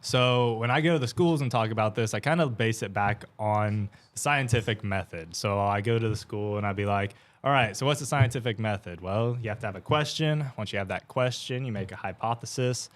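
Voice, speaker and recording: A man, an extension educator on a morning TV show, explaining in a steady, self-described monotone. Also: fairly low pitch, a little fast, hardly any pauses. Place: TV studio.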